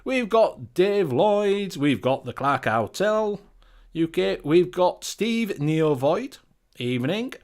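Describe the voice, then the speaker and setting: A man with a Northern English accent hosts a laid-back live online show, his voice sitting fairly high for a man. He chats loosely, swears freely and runs through a list of viewers' names. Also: sing-song, slow. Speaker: show host. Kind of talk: casual live-stream chat.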